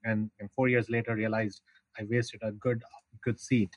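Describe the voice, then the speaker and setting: A man's voice: on the low side, little pitch movement, normal speed, dry recording, highs cut off. A middle-aged man from India with an Indian accent, telling his career story at length as a guest in a podcast interview, with frequent restarts. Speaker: guest, founder of an insurance tech business.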